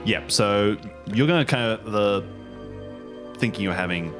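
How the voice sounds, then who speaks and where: A man's voice: lowish voice, measured pace. A man running a tabletop role-playing game as game master, talking casually and improvising as he goes. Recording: music playing behind the voice. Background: music.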